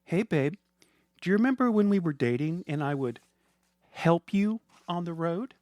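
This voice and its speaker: A man's voice: fairly high pitch, expressive, normal speed, darkish tone, no echo, pitched above the performer's own voice, lightly acted. A man voicing an affectionate, flirtatious middle-aged wife in a comic audio story, with a coaxing manner. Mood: flirty coaxing.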